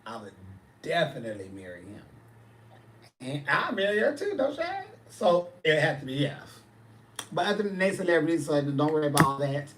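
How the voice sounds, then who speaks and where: A man's voice: fairly high pitch, big pitch swings, a little fast, a bit bright, slight background noise. A man, chatting casually on a livestream and answering viewers' comments with sass. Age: 40s. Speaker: livestream host.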